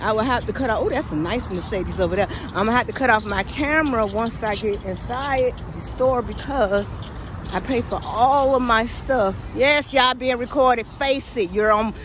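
A woman's voice: a little high, moderate pace. A woman, chatting to her viewers while she films on a walk and scolds the drivers going by. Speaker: vlogger filming a walk. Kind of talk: casual walk-and-talk commentary. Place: outdoors by a busy suburban road. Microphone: phone camera held while walking. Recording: noisy street recording with traffic. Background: passing car traffic.

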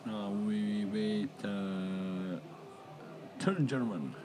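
A middle-aged man calls a river race in slow, unhurried English. His voice sits a little low, and he stops often on fillers. A crowd can be heard clearly behind him at the outdoor course. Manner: halting non-native English with many fillers. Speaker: race commentator. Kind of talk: live sports commentary.

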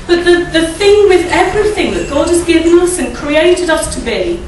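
Middle-aged woman, croaky, leading a chatty church talk at a lectern mic; distant, echoey hall with noise behind. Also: very high voice, expressive, normal speed, darkish tone. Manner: chatty, asks the audience tag questions and laughs often. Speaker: church speaker. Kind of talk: informal talk at a church service. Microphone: standing mic at a lectern, filmed by a far camera.

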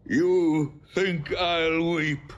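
A man's voice: fairly high pitch, fairly even intonation, highs cut off. An actor playing a wretched, proud old king and father in a declamatory Shakespearean audio drama. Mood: defiant rage. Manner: declamatory, drawn-out delivery.

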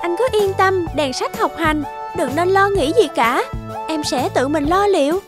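A woman voicing a gentle, devoted young toad wife in a children's cartoon, reassuring and deferential in manner. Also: very high voice, lively intonation, unhurried. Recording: music under the voice.

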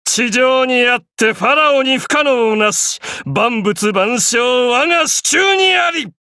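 A man's voice: extremely high pitch, animated, slow pace, pushed and bright, no room sound, clean studio sound, heavily acted. An actor playing a proud, imperious young pharaoh and godlike sun king in an anime game, speaking in grandiose, archaic phrases. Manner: pompous, grandiose, archaic speech.

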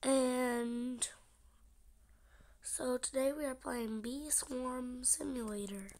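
Young gaming host, sick and stuffy, gravelly, slightly high voice, half-whispered chatter over gameplay. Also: female voice, steady tone, takes it slowly, darkish tone. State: stuffy nose from a cold. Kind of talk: casual gameplay commentary.

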